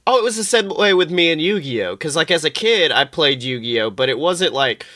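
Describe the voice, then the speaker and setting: Bright, animated male podcast co-host. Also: on the high side, moderate pace, dry recording, studio quality. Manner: animated, exclamatory, sweary. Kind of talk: casual comedic banter and anecdotes.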